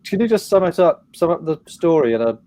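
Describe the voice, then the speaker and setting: A man's voice: fairly high pitch, animated, a little fast, mellow, dry recording. A man hosting a panel conversation over a video call, hesitant and encouraging, with many fillers. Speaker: event chair and interviewer.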